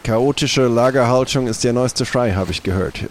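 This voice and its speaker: A man's voice: medium pitch, normal speed. A man leading an improvised audio-play scene with casual, dry banter. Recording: faint background noise.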